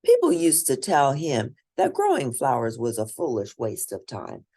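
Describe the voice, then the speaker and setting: Very low-voiced woman reading a storybook. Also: wildly swooping pitch, moderate pace, warm tone, slightly rough. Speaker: host reading picture books aloud. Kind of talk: read-aloud children's storybook narration.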